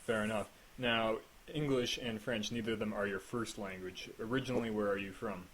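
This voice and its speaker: Guy hosting a gaming podcast, low voice, jokey and dry, faint hiss in the background. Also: steady tone, fairly quick, fairly bright tone, low-quality recording. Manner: joking, teasing dry asides. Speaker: host. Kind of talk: casual podcast interview.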